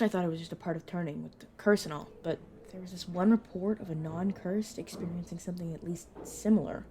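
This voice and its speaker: A woman playing a brisk, protective vampire mentor in an audio roleplay, talking casually and teasingly to a new fledgling. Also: mid-range voice, a little mellow, slight background noise, natural voice. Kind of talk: narrative audio roleplay, addressed to the listener.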